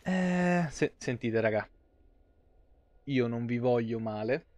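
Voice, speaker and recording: A man's voice: mid-range voice, expressive, takes it slowly, darkish tone. A man, giving casual, sweary, grumbling live game commentary into a close mic. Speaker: game streamer. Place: small indoor room.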